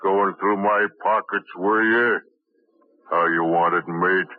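A big brutish sailor. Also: male voice, deep voice, fairly even intonation. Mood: menacing. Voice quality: gruff, slow-witted. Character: big brutish male sailor, slow and menacing. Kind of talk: 1950s radio drama acting. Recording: tinny old radio broadcast.

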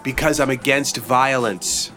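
A man's voice: mid-range voice, measured pace, bright, forceful tone, soft background music. A man playing a meek, bewildered everyman in an audio comedy drama, polite, hesitant and pleading. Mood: pleading, earnest.

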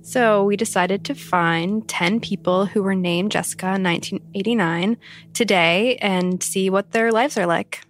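A woman's voice: mid-range voice, unhurried, over music. A woman who works as an editor and creative director, describing interviews in a matter-of-fact way. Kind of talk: podcast interview narration.

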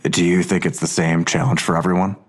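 A man's voice: low-pitched, flat delivery, fairly quick, slight background noise, natural voice. A man playing a weary, skeptical investigator, sardonic and dismissive, in a naturalistic audio drama. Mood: incredulous, skeptical. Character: Blossom, weary skeptical male investigator, a father.

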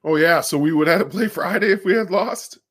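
A man with a deep voice talks sports at an easy, unhurried pace. He comes across as laid-back, dropping "man" and "dude" as he chats over a video call. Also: a bit bright, breathy edge. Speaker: guest co-host. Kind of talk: casual sports chat.

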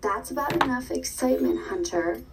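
An actress playing a calm, firm nurse who corrects her patient with mild condescension. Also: sits high, brisk, heavy background noise.

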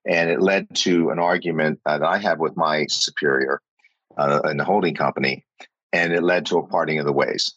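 A man's voice: rumbling low, steady tone, a little fast, a bit bright, dry recording, lo-fi sound. A middle-aged man reflecting in an interview, talking thoughtfully with many fillers and restarts. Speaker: guest, former company chief and novelist.

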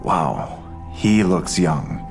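Male investigator, crime audio drama: deep, clipped, inquisitive and sober, music bed. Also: expressive, extremely slow, full character voice. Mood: sober, probing. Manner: terse, questioning.

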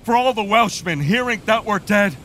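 A man's voice: high voice, expressive, normal speed, sharp tone, a little hiss or hum, exaggerated voice. A man playing a mournful noble messenger. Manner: mournful, lamenting. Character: grieving nobleman bearing bad news. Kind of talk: Shakespearean stage acting.

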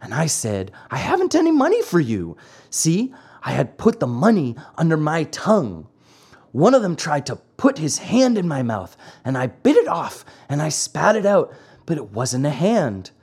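A narrator voicing a whiny, stubborn little wooden boy marionette in a high, squeaky, childlike storybook voice. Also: wildly swooping pitch, moderate pace, a little mellow, breathy edge, full character voice. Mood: excited, breathless telling. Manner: whining, childlike, pleading.

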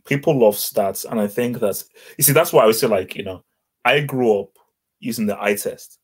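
A man from Nigeria with a Nigerian accent, co-hosting a casual football chat, blunt and animated, often repeating words as he gets going. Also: mid-range voice, normal speed, slightly rough. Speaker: football podcast co-host.

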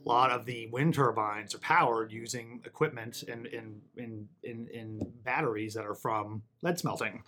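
A man's voice: mid-range voice, normal speed, hardly any pauses, pushed and bright, slight background noise. A man hosting a podcast interview, conversational and chatty, laughing easily. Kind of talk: casual interview chat.

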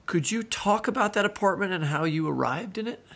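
A man's voice: high voice, lively intonation, fairly quick, gravelly, fairly bright tone. An American man co-hosting a podcast in a conversational interviewing style.

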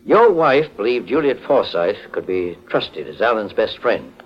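An actor playing a calm, courteous but stern private investigator, formal and authoritative, in a 1940s radio detective drama. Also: male voice, lowish voice, unhurried. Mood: accusing, stern. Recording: muffled 1940s radio recording.

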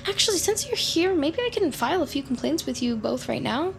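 High-voiced nervous, awkward neighbor. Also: female voice, very animated, fairly quick, creaky, heavily acted. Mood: nervously chatty. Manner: hesitant, rambling, earnest. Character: nervous, chatty, awkward lonely female neighbor, adult. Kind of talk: audio drama acting.

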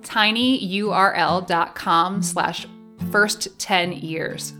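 A woman's voice: on the low side, drawn-out words, bright, forceful tone, faint music behind. Wry, playful woman on a podcast. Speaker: podcast co-presenter, live show MC and author. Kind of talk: casual promotional chat. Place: home basement.

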